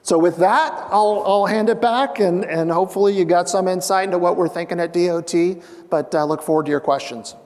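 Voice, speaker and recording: A man speaking as a transportation official on a conference panel, measured and halting, with many fillers. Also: sits high, a little mellow. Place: conference hall. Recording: long hall reverb.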